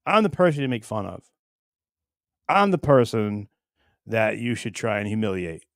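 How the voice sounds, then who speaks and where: A middle-aged man hosting a livestream with casual, rambling chat, blunt and crude in his joking, going between muttering and loud bursts. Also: fairly low pitch, sing-song, normal speed, no echo, clean studio sound. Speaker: livestream show host.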